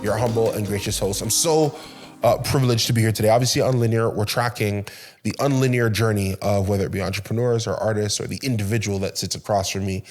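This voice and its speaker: A man's voice: on the low side, a little mellow, soft background music. A Canadian-born man hosting a podcast, upbeat and talkative, welcoming his guest and keeping the conversation moving. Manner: upbeat and talkative, quick to affirm with mm-hmm. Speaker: podcast host.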